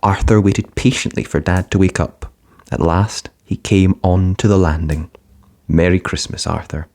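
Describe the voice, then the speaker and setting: A man reading a children's picture book aloud in a gentle, expressive bedtime-story manner. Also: low voice, normal speed.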